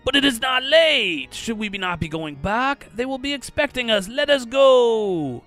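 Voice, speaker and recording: Drunken doomed nobleman played by a male reader: raised pitch, theatrical cries, music underneath. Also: animated, creaky, heavily acted. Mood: nervous laughing. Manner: loud, drawn-out, theatrical cries. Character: merry drunken doomed nobleman, pleading and trembling. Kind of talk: dramatic short story reading.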